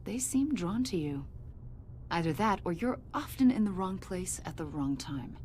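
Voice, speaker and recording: An actress playing a probing, sardonic government agent in a video game, questioning someone in an insinuating, needling way. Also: female voice, on the low side, animated, normal speed, a little mellow, a little hiss or hum, natural voice. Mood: probing, cool. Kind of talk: cinematic video game dialogue. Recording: music behind the voice.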